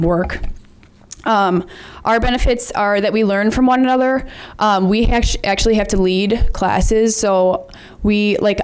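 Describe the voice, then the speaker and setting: A teenage girl talks to a board about her class, loud by her own account. She keeps her talk loose and casual, with plenty of ums and likes between her points. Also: medium pitch, clean tone. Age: teens. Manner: chatty, many ums and likes; says she has a loud voice. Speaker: student PE leader. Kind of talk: presentation to a school board.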